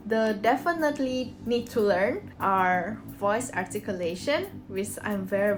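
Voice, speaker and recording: Giggly young woman over music. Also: fairly high pitch. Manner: giggly, laughs often between sentences. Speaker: former voice acting student vlogging. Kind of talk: casual chat. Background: background music.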